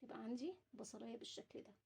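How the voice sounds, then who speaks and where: Fast-talking, higher-pitched Egyptian woman. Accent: Egyptian Arabic. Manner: instructive, chatty, addressing viewers directly. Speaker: home cooking vlogger. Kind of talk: casual cooking demonstration, chatting to viewers. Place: at home while preparing food. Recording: clearly noisy home recording with clicks.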